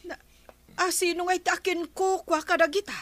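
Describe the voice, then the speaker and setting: A woman's voice: extremely high pitch, flat delivery, moderate pace, fairly bright tone. An actress playing a disgusted, complaining village woman in an Ilocano radio comedy drama. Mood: puzzled, disgusted.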